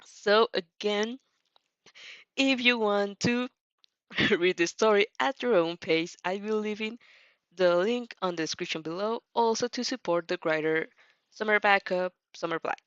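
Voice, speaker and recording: A woman's voice: mid-range voice, unhurried, a bit bright, clear, resonant tone, dry recording. A young woman who speaks English as a second language, chatting casually and hesitantly as she hosts a stream, often correcting herself. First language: not English. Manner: hesitant, giggly, self-correcting. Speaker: host reacting to fan fiction. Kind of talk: casual, rambling stream chat. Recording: dull lo-fi mic, faint music behind.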